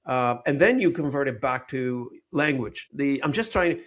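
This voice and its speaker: A man hosting a podcast interview in a conversational, somewhat halting and rambling manner. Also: medium pitch, expressive, a bit bright. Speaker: podcast host.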